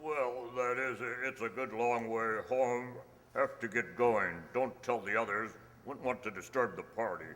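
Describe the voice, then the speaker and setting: A man's voice: steady tone, unhurried, fairly bright tone, close to an everyday voice. A man playing an evasive impostor Father Christmas in an amateur radio play. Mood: furtive, hurried. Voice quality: bass when singing. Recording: reverberant hall-like radio play recording.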